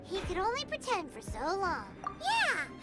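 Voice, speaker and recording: A tiny, childlike fairy sidekick chirps in an extremely high, wildly swooping sing-song. She is cheeky and quick to tease. Loud game music sits under her. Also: huge pitch swings, exaggerated voice.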